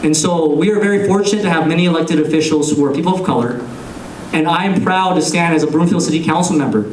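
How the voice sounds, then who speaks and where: Young male candidate, earnest and measured, slightly higher voice, recorded from a distance. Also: darkish tone, a little hiss or hum. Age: young adult. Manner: earnest, measured, pausing between phrases. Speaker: political candidate. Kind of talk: campaign speech. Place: large room with an audience. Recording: echoey room, captured from a distance.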